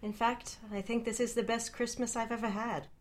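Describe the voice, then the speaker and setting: A woman's voice: fairly high pitch, flat delivery, moderate pace, a little hiss or hum, voice pushed up, close to an everyday voice. A woman voicing an awkward, nervous, polite man in a story reading, hesitant and stammering. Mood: shyly pleased. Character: awkward, nervous, polite Englishman, reluctantly fond.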